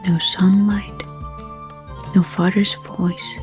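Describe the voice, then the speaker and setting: A woman narrating a bedtime story in a gentle, soothing, hushed manner, with unhurried phrasing. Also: medium pitch, flat delivery. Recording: soft music under the voice. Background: background music.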